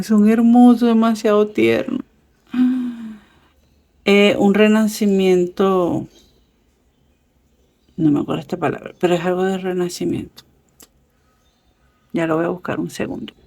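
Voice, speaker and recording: A woman giving a casual tarot reading, chatty and affectionate, with many fillers and soft laughs. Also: medium pitch, fairly even intonation, unhurried, long pauses. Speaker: tarot reader. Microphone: camera set up over a table with cards.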